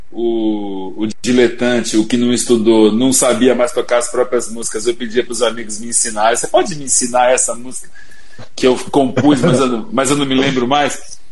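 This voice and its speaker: A man's voice: medium pitch, rough recording. A middle-aged Brazilian man, a singer-songwriter guest, telling his story reflectively in a casual livestream interview.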